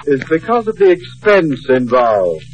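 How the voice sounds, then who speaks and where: An actor playing a teasing, dry-witted American husband with a blustery manner in a 1940s radio sitcom. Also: male voice, medium pitch, very animated, unhurried. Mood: blustery, resigned. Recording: dull, noisy old radio, loud audience.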